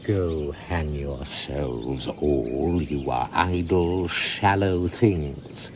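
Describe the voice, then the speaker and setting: A pompous, indignant steward, acted by a man, who speaks slowly and with great disdain. His voice leaps wildly from low mutters to shrill, lofty cries. The delivery is scornful and stagy, in the style of Shakespearean comedy. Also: huge pitch swings, fairly bright tone, a little hiss or hum, exaggerated voice. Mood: haughty. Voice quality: haughty, scornful delivery with sudden high outbursts. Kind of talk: Shakespearean stage acting.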